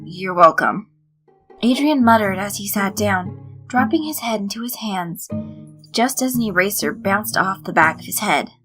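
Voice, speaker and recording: A woman's voice: medium pitch, a bit bright. A woman reading a piece of fan fiction aloud as scripted story narration. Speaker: fan fiction audiobook reader. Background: background music.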